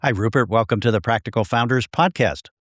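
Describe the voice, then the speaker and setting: A man's voice: mid-range voice, a little fast, a bit bright, no room sound, studio quality. A man hosting a podcast, upbeat and conversational as he introduces and questions his guest. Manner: upbeat, conversational, some restarts. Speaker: podcast host. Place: home in Dallas.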